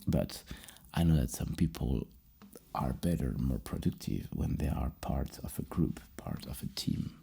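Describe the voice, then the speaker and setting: A man speaking softly and breathily as an ASMR psychologist, asking questions in a halting, musing way. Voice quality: soft, breathy, gravelly low murmur. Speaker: ASMR roleplay host playing a psychologist. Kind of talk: soft-spoken ASMR questioning.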